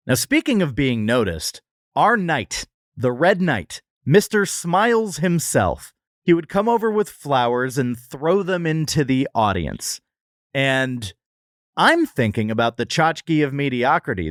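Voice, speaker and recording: A man's voice: mid-range voice, no echo, studio quality. A man hosting a comedy podcast, telling stories in a jokey, exaggerated way with casual banter. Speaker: podcast host.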